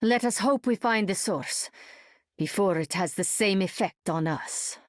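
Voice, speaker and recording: Woman doing serious proud game heroine, formal n measured, mid voice, no echo. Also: medium pitch, clean studio sound, lightly acted. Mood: grave, challenging. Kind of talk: video game character voice lines.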